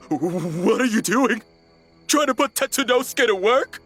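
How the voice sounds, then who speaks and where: An actor voicing a panicky, distrustful young male tanuki creature in an animated game, alarmed and exclamatory. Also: mid-range voice, a little slow, faint music behind. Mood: accusing. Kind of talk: animated game cutscene acting.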